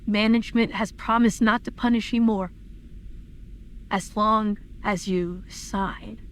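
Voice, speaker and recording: Audio drama part, female actor: pleading young friend, sincere and persuasive, average pitch, light background noise. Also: steady tone, slow pace, lightly acted. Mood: urging. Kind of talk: acted dialogue, fan audio drama.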